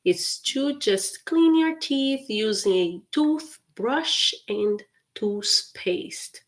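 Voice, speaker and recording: Slow, careful woman teaching English. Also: on the high side, animated. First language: Portuguese. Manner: slow, careful repetition of phrases with frequent laughs. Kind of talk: live-stream English lesson.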